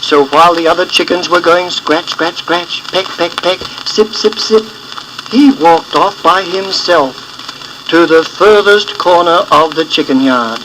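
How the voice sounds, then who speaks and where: A man reading a story for children in an expressive storytelling style, as its author, on an old record. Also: fairly high pitch, unhurried, noisy background.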